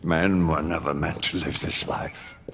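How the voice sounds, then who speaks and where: A man's voice: extremely low pitch, steady tone, measured pace. An actor playing a grave, tormented aging Lord Chancellor and father in a video game drama, speaking solemnly and formally. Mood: grave, commanding. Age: older. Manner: solemn, formal, weighty delivery. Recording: long hall reverb, clear background noise.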